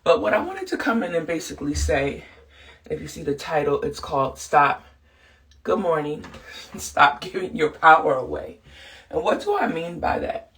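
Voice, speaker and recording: A woman's voice: low voice, very animated, normal speed, a bit bright, distant mic, slight background noise. Congested woman in an earnest, rambling chat. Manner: earnest, rambling, many fillers. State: congested from flu. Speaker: podcast host and counselor. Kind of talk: casual motivational talk.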